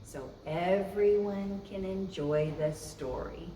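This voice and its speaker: A woman's voice: medium pitch, slow pace, warm tone. A woman reading a children's story aloud as a storytime host, expressively and speaking directly to young listeners. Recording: roomy, echoey, distant mic with noise.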